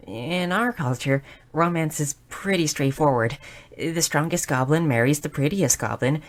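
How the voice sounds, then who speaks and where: A woman voicing a bookish, excitable young goblin girl in an audio roleplay, curious, shy and slightly stammering. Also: low voice. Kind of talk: scripted audio roleplay addressed to the listener.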